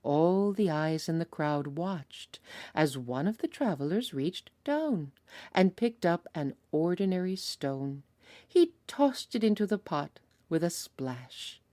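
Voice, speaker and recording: A woman teacher reading a children's storybook aloud, slow and expressive. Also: fairly low pitch, no room sound. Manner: slow, expressive, lively voices for characters.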